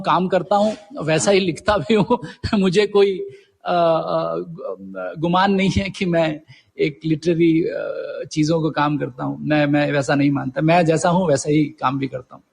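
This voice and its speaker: A man's voice: on the high side, steady tone, unhurried, vocal fry, breathy edge. A middle-aged man answering as a guest writer in a conversational interview, hesitantly searching for his words.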